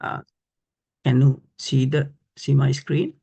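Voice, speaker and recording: Man with a gravelly voice, slow and deliberate with many fillers, presenting the grammarian role. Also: medium pitch, level tone, mellow. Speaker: grammarian at a club meeting. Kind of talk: prepared role introduction.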